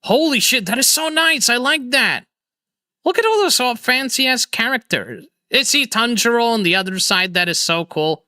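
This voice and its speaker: A man hosting a casual video chat stream, energetic and laughing often, with quick restarts. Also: very high voice, dry recording, studio quality. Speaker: streamer and host.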